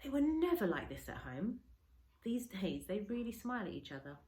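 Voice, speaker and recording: A woman teacher reading a children's storybook aloud with an expressive, dramatic storytelling delivery. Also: mid-range voice, moderate pace, roomy sound. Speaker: schoolteacher.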